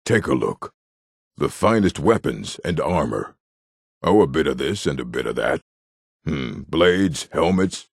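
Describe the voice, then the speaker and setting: An actor playing a gruff, combative male orc townsman in a video game, with a rough, husky voice and a blunt, curt way of speaking. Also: a bass voice, no room sound, studio quality, full character voice. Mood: salesman's pitch. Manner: blunt, curt, threatening delivery. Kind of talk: video game character barks.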